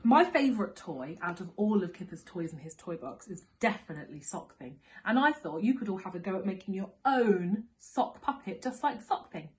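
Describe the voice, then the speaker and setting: A woman's voice: medium pitch, expressive, moderate pace, hardly any pauses, a little mellow, low-quality recording. A woman reading a children's story expressively as a school storyteller, leaning on key words for young listeners.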